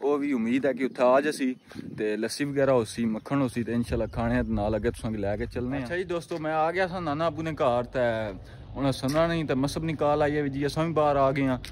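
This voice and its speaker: A man's voice: medium pitch, fairly even intonation, fast talker. A young man in his twenties chatting casually to a phone camera as a vlogger, outdoors in a village, greeting his viewers as friends. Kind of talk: casual vlog chat to camera. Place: outdoors in a village, fields and courtyard. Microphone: phone camera at arm's length.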